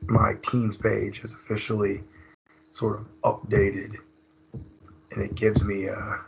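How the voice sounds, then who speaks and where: A hoarse man, leading a recorded teacher training seminar in a conversational way, with many fillers and restarts. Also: fairly low pitch, noisy background. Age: 30s. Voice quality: raspy. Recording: dull lo-fi screen recording.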